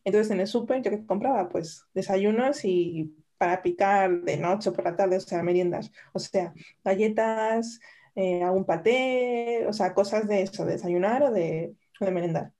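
Mellow-voiced woman telling a story. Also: mid-range voice, moderate pace. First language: Spanish. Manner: storytelling, many restarts and "o sea". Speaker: podcast co-host. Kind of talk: casual chat over wine.

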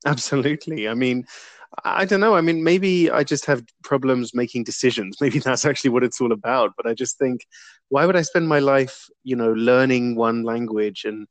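A young man gives earnest, reflective interview answers, often pausing on "um". His voice sits at an ordinary middle pitch. The recording is dry and close, with no room sound. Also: dry recording. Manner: earnest and reflective, with frequent fillers. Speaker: interview guest.

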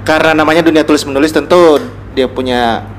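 A man's voice: on the high side, steady tone, fast talker. A man with a Gorontalo Indonesian accent chatting as an interview guest, explaining reflectively and searching for his words. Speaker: podcast guest, writer. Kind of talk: casual conversational interview. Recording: noticeable room noise and ambience.

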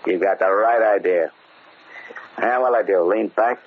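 An actor playing a brusque, slangy crook hiding from an enemy, talking informal tough talk in old radio horror style. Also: male voice, on the low side, measured pace, lightly acted. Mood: easygoing, bossy. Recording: tinny, noisy old radio recording.

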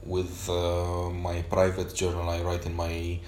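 A man whose first language is Romanian hosts a solo podcast journal, talking off the cuff. He speaks slowly in a low voice, halting often to search for words. The room sounds echoey, with a faint hiss behind him. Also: monotone, darkish tone. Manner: hesitant, many pauses and fillers. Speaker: podcast host.

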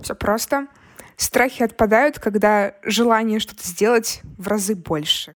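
A young woman answering casually as a podcast guest, expressive and informal, acting out her own inner dialogue. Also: mid-range voice, unhurried. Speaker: podcast guest, singer and content maker. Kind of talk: casual conversational interview answers.

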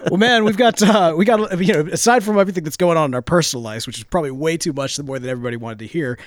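A man in his thirties co-hosting a casual chat, rambling and self-deprecating, with halting restarts. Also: a little high, no echo, studio quality. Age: 30s. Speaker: podcast co-host.

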